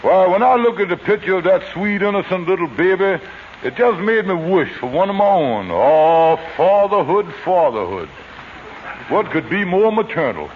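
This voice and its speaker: An actor playing a boastful, scheming lodge leader in a 1940s radio comedy, with a drawling, theatrical delivery. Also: male voice, high voice, big pitch swings, moderate pace, slight background noise. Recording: dull old radio broadcast.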